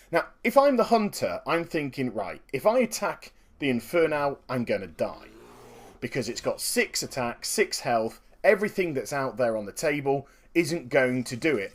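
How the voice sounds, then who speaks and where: A man with a slightly croaky voice, giving upbeat, chatty commentary on his own card game match and explaining each move. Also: mid-range voice. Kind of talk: gameplay commentary.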